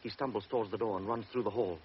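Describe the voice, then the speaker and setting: Low-voiced male narrator, steady delivery, vintage radio. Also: very fast. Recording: dull old radio broadcast, faint hiss.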